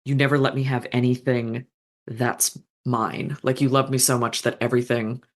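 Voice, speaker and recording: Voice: mid-range voice, flat delivery, moderate pace. A fanfiction author in a casual podcast conversation, thoughtful and meandering, with frequent fillers and restarts.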